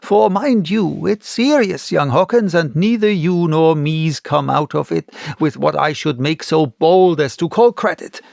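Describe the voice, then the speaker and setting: Man performing a boisterous old seadog innkeeper, salty talk, raised gravelly voice. Storybook character. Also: on the high side, a little slow, few pauses, a little mellow, dry recording, clean studio sound. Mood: hearty, frank. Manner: salty seafaring dialect, exclamatory. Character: boisterous one-legged old sailor turned tavern keeper. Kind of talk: audiobook character voice.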